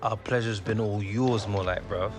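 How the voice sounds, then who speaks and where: An actor playing a cocky, keen young footballer with an English accent and matey, slangy speech in a game cutscene. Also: male voice, on the low side, fairly even intonation, moderate pace, natural voice. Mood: teasing. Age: young adult. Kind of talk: video game cutscene acting. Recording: music behind the voice.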